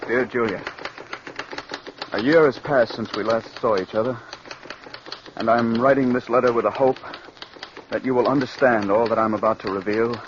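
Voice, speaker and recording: A man's voice: mid-range voice, steady tone, moderate pace, pauses often, lightly acted. An actor playing a nervous, earnest radio writer in his early thirties, in 1940s radio drama style. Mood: anguished. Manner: earnest, anxious, deferential. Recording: dull old radio recording, faint noise.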